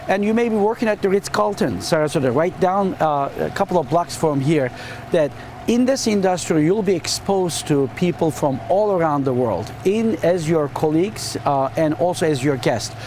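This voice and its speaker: A man from Turkey with a Turkish accent, a hospitality dean answering quick interview questions earnestly and often repeating words as he searches for phrasing. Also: a little high, animated, a little mellow, slight background noise.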